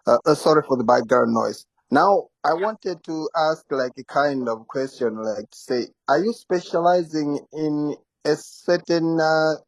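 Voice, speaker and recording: A man from Malawi with a Malawian accent, politely putting questions in halting phrases during a live discussion. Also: fairly high pitch, animated, no echo, rough recording. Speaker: audience member asking questions.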